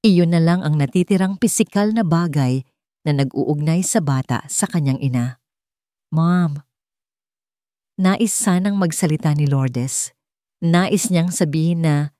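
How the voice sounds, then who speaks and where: Female narrator, Filipino accent, lower register, scripted romantic drama, dry studio-like sound. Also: dark tone, dry recording.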